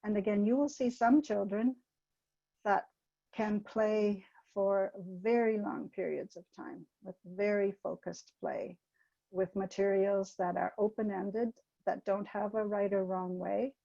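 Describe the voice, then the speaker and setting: An older woman giving a webinar talk, gentle and hesitant, with many ums, sharing anecdotes over a video call. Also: mid-range voice, measured pace, creaky, dry recording, muffled recording. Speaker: webinar presenter. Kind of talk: informal teaching talk with anecdotes.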